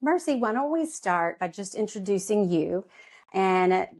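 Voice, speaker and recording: A woman's voice: mid-range voice, expressive, measured pace, a little mellow. A woman hosting a podcast interview, introducing herself and her guests in a friendly, chatty way. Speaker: podcast host.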